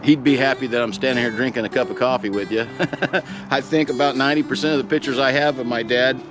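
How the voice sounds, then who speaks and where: Middle aged guy with a medium pitched voice, folksy and sincere, telling a personal story, music behind him. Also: steady tone, fast. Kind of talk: reflective, personal storytelling to camera.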